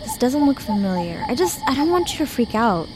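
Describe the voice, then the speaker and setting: A stubborn young woman who loves nature, speaks in a fairly high voice with a casual, wry insistence. Clear outdoor ambience runs behind this naturalistic audio drama. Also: big pitch swings, a little fast, noisy background. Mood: defensive, irritated.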